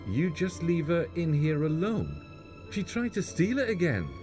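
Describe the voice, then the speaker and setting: A man's voice: fairly high pitch, huge pitch swings, measured pace, darkish tone, soft background music. A man playing a guarded, blunt travelling companion in a sci-fi audio drama. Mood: concerned. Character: guarded, plain-spoken male travelling companion.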